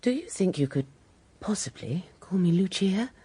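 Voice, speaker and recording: Husky, genteel British widow. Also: female voice, fairly low pitch, sing-song, moderate pace, warm tone, heavily acted. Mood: anxious, appealing. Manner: refined, emotive. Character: genteel, proud widow under suspicion, anxious and quick to offend. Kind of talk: British radio detective drama acting.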